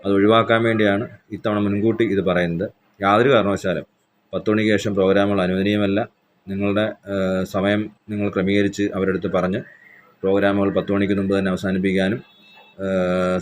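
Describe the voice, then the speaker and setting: Deep, flat-voiced police officer making an announcement. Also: male voice, a little hiss or hum. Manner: measured, official, with hesitant fillers. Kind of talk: formal public announcement.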